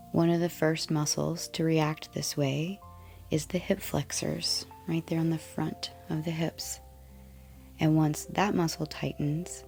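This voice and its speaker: A woman leads a guided relaxation in a low, slow voice. Her delivery is gentle and soothing, with soft breathy endings to her phrases. Faint music plays behind her. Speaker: yoga nidra guide.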